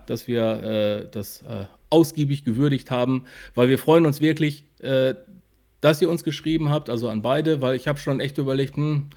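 A man's voice: on the high side, vocal fry, darkish tone. A man co-hosting a podcast in casual chat, often searching for words and repeating himself. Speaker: podcast co-host.

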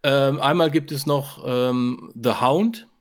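A man's voice: mid-range voice, slow pace. A man co-hosting a podcast, giving his opinions in casual chat with a hesitant, searching delivery. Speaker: podcast co-host.

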